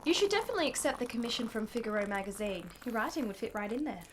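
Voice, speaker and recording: A woman's voice: fairly high pitch, sing-song, very fast, a little hiss or hum. A woman playing a gracious, intelligent young wife in a period radio play, polite and articulate. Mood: articulate, keen. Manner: polite, articulate, self-possessed. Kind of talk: radio drama acting.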